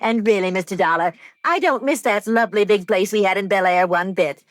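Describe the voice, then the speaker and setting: An actress with an American accent playing a genteel, fussy, chatty wealthy wife in an old-time radio drama. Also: female voice, mid-range voice, brisk, no room sound, studio quality, close to an everyday voice. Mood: gracious.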